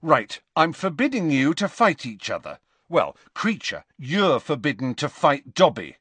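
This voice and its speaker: Male reader as a decisive teenage storybook wizard, steady and dry. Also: on the high side, a little slow, husky, no room sound, voice pushed up, full character voice.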